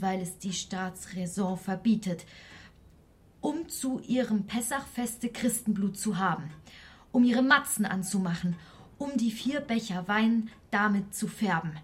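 A woman's voice: medium pitch, a little mellow, lightly acted. An actress playing a credulous, spiteful woman who speaks with insistent indignation in a radio drama.

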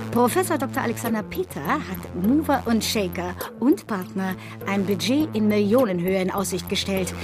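An actress playing a brash, triumphant consultancy boss in a satirical radio drama, boastful and emphatic. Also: female voice, fairly high pitch.

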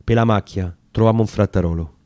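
A man's voice: on the low side, a little fast, warm tone, no room sound, studio quality. A man with a Roman accent reciting narrative dialect sonnets as dramatic storytelling. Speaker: actor reading poetry.

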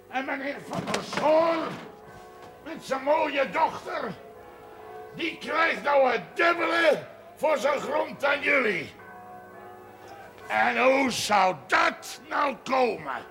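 An actor playing an angry, accusing, blunt man who shouts in a television period drama. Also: very high voice, creaky, full character voice. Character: angry, shouting man quarrelling over village affairs.

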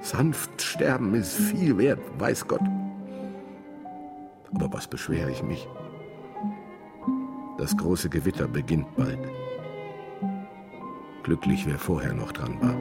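A man's voice: rumbling low, animated, slow, long pauses, exaggerated voice. An actor playing an urbane, middle-aged male professor in a radio drama, speaking in a formal, musing, dryly ironic way. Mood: serene, resigned. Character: male professor, urbane, wry, serene while dying. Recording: studio radio drama under loud music.

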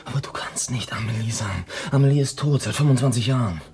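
A man's voice: mid-range voice, fast, darkish tone, natural voice. An actor playing an earnest, lovestruck man in a romantic radio drama, speaking solemnly and intimately. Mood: baffled, searching. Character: earnest, lovestruck man reunited with his lover.

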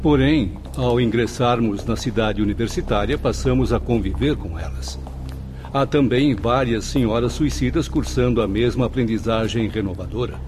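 A man's voice: medium pitch, moderate pace, a little mellow, faint music behind, natural voice. A man playing a reflective spirit professor. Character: male spirit professor among the students.

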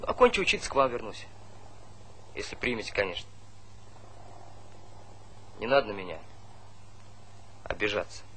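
A man's voice: fairly low pitch, very animated, moderate pace, long pauses, sharp tone, slight background noise, low-quality recording. An actor playing an earnest, apologetic young man in a stage play, speaking in short, sincere phrases. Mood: earnest, pleading. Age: young adult. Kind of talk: theatrical stage-play dialogue.

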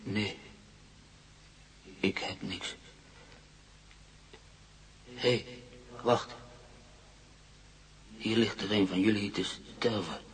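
An actor playing a troubled male patient in a radio play, recalling frightening memories in halting, brooding fragments. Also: lowish voice, fairly even intonation, a little hiss or hum, poor audio. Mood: urgent. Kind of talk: Dutch-language radio play acting.

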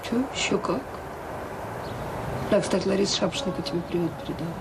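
Caring, wry middle-aged mother, creaky voice. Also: on the low side, fast talker. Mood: caring, probing. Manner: colloquial, gently teasing, frank. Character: caring, wry, tired mother of a grown son. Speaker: actress in an audio drama. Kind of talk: naturalistic radio drama dialogue. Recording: slightly noisy 1980s audio drama recording.

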